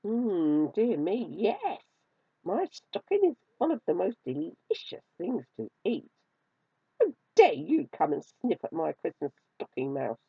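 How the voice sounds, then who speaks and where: A woman's voice: on the low side, wildly swooping pitch, measured pace, husky, no room sound, heavily acted. Woman playing a haughty, swooping storybook kitten. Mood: surprised, haughty. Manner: scornful, teasing, indignant. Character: haughty, teasing male kitten, easily cross. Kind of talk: children's storybook reading. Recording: tinny, phone-like narrow band.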